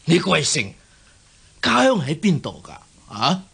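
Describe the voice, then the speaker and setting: A man's voice: a little high, huge pitch swings, unhurried, vocal fry, fairly bright tone, breathy edge, exaggerated voice. A scheming, imperious king, swooping voice. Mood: falsely genial, probing. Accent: Hong Kong Cantonese. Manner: imperious, theatrical, falsely genial. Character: cunning, scheming usurper king, a fierce warrior. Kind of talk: Cantonese radio drama acting.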